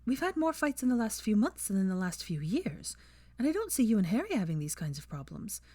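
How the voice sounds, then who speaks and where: A woman voicing a tired, worried young witch who speaks softly and hesitantly, sighing between words. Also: medium pitch, very animated, brisk, husky, no room sound, pitched above the performer's own voice. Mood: weary worried.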